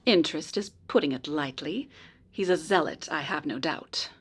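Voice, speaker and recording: A woman playing a polite, earnest informant in a noir radio drama, speaking in a measured, precise way. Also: deep voice, lively intonation, husky. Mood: grave, informative. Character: polite, earnest female informant helping a detective.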